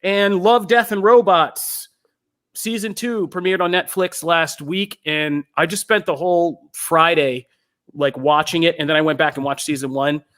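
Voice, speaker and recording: American man, fairly high voice, podcast host, casual rambling chat, pop culture recommendations. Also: steady tone, measured pace, fairly bright tone. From Pennsylvania, United States.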